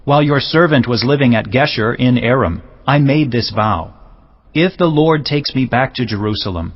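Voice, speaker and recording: A man's voice: mid-range voice, moderate pace, husky, dry recording. A man playing an ambitious, scheming young prince in an audio Bible drama, declaiming insistently. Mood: pious, pleading. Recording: dull lo-fi audio with background music.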